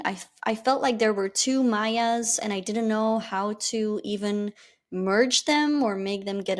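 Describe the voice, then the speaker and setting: Candid Flemish-accented woman podcaster. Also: medium pitch. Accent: Flemish Belgian. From Belgium. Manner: candid and reflective, with lively rises. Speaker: podcast host. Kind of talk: personal storytelling monologue.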